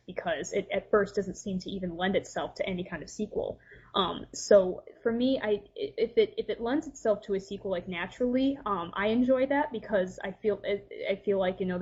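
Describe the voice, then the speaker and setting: A woman with a husky, slightly rough voice chats casually as a podcast guest. She thinks aloud as she gives her opinion. The recording is dull and lo-fi. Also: medium pitch. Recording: dull, lo-fi voice-chat audio.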